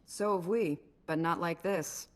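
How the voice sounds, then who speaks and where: An actress playing the blunt, harried mayor of a desert outpost in a video game, plainspoken and wry. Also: female voice, fairly low pitch, measured pace, close to an everyday voice.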